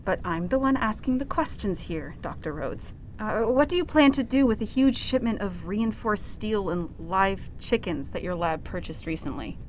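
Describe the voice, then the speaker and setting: A woman's voice: mid-range voice, expressive, moderate pace, few pauses, a little hiss or hum, close to an everyday voice. A woman playing an earnest, flustered good-cop reporter with a hesitant, exasperated manner in a fiction podcast. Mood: flustered, insistent. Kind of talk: audio fiction podcast acting.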